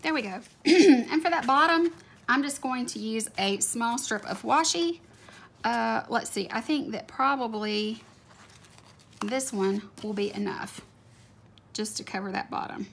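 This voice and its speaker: A woman's voice: on the high side, a little slow, gravelly. Chatty woman with a scratchy voice. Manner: chatty, gushing over cute stickers. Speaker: planner video creator. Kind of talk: casual narration while decorating a planner. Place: home desk, overhead camera on hands. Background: faint room ambience, a dog snoring.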